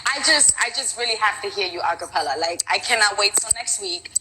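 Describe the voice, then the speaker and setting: A woman from Brooklyn, a school teacher who hosts radio, talking in an excitable, gushing way in a casual live interview. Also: medium pitch, a little fast, a little hiss or hum, rough recording. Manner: excitable, gushing, laughs often.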